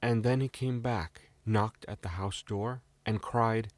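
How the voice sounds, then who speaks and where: A British male storyteller reads a scripted fairy tale. His voice sits a little low. The sound is dry and close, like a bedtime storybook recording. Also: fairly even intonation, slow pace, no room sound.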